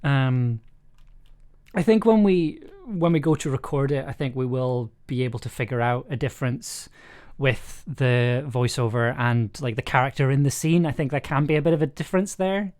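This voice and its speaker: A man hosting a casual script discussion, thinking aloud in a halting way and repeating words as he explains. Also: medium pitch, darkish tone. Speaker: audio drama writer and director hosting a livestream.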